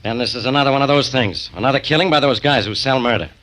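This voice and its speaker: Brisk, forceful police inspector, played by a man. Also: mid-range voice, lightly acted, rough recording. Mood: businesslike. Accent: American. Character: gruff, exasperated, bossy police inspector. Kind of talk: 1940s radio crime drama acting.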